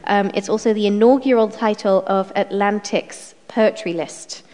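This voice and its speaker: A woman's voice: mid-range voice, normal speed, a little mellow. A woman chairing a literary event in front of an audience at a microphone, her voice strained and snuffly, speaking chattily with frequent ums. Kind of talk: introducing a literary reading. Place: event room with a live audience. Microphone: microphone on a stand. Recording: long hall reverb.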